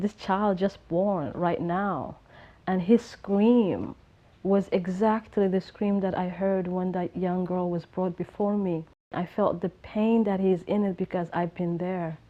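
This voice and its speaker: A woman with a Somali accent and a fairly low voice gives personal testimony. Her delivery is earnest and emphatic, in the way of an activist being interviewed. The recording sounds a little muffled. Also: fairly even intonation, a little slow. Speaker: human rights activist.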